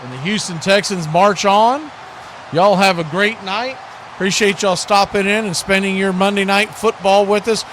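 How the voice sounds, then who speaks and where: Male football play-by-play host, slightly high-pitched, folksy and conversational, noticeable noise behind the voice. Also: normal speed. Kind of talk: live football watch-party commentary. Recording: steady background noise.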